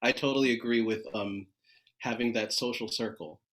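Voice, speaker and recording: A man's voice: medium pitch, fairly even intonation, moderate pace, a bit bright. An American man from California, a choir conductor, talking in casual conversation. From San Diego, California, United States.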